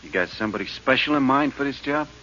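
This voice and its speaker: An actor playing a slow-witted, obedient gangster henchman in a 1940s radio drama, slangy and questioning. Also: mid-range voice, close to an everyday voice. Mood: puzzled. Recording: muffled, hissy 1940s radio recording.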